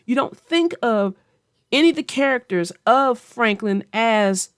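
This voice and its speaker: A woman narrates and discusses a children's book with a very animated, swooping delivery. She takes her time, explaining things simply for young listeners. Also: a little high, a little slow, clear, resonant tone, no room sound, clean studio sound. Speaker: children's story narrator and host. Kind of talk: picture-book read-aloud and book chat.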